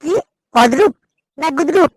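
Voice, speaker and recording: A woman's voice: sits high, animated, measured pace, dry recording. A woman voicing a cheeky, foul-mouthed young son in a comic cartoon, whiny and insolent, with a West Bengal accent.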